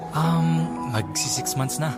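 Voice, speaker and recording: A man's voice: on the high side, slow pace. A man playing a polite, considerate young boyfriend in a casual Filipino audio drama. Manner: courteous, casual. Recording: music behind voice.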